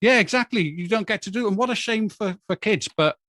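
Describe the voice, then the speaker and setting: Man talking calmly, dry sound. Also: high voice, no room sound. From Wales. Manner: measured, thoughtful, with hesitant starts. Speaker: podcast guest. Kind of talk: casual podcast chat.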